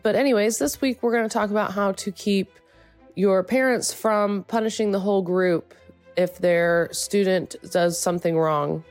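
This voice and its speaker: A woman's voice: mid-range voice, fairly even intonation, moderate pace, darkish tone, soft background music. A woman hosting a short advice segment for color guard educators, congested from a cold and explaining her methods step by step.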